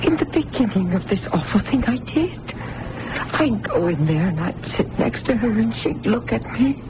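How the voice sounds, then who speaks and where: Distressed older aunt in old radio drama, faltering, emotional, very animated pitch, hissy tape. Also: female voice, moderate pace. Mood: anguished, tearful. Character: anguished, devoted older aunt and guardian. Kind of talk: 1980s radio drama acting. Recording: noisy old radio broadcast recording.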